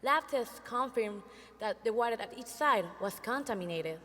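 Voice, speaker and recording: A woman from El Salvador delivers a rehearsed competition presentation in clear, measured phrases. Her voice sits a touch high and sounds bright. The sound carries a long hall reverb. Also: expressive. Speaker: student presenter.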